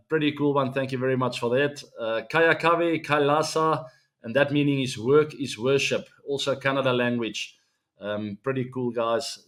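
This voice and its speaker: A man in his forties with an Afrikaans-accented South African English voice, hosting a casual sports show and chatting to his viewers with lots of fillers. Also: mid-range voice. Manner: relaxed, chatty, many fillers, addresses fans as guys. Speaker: show host.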